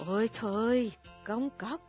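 A weary, resigned soldier speaks flatly, as a woman narrator puts him on. The voice is high for a man, and the recording sounds dull and lo-fi. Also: takes it slowly, soft background music.

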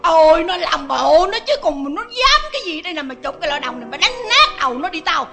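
A woman's voice: high-pitched, sing-song, fast talker, creaky, soft background music, full character voice. An actress playing a fierce, domineering older mother-in-law who scolds and threatens in a radio drama. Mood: raging. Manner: scolding, abusive, shouting threats.

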